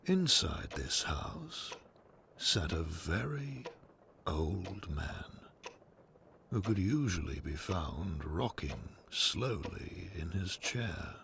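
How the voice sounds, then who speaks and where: A man with a gravelly voice reading scripted storybook narration for an audio drama in a slow, measured manner. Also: low voice, very animated, a bit bright, a little hiss or hum.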